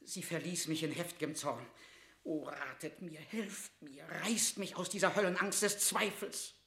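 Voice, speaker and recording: A helpless, dutiful royal secretary, with a slightly high voice and an agitated, earnest delivery. It is classical verse drama for radio, recorded with audible room sound. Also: male voice, measured pace, a bit bright. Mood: desperate. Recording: roomy studio radio play sound.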